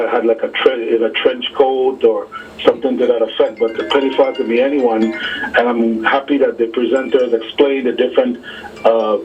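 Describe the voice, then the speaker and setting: A man phones in to a radio talk show on a narrow, tinny line. His medium-pitched voice is measured and formal, and he repeats words for emphasis. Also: slightly rough, distant mic. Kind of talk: call-in commentary. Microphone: telephone.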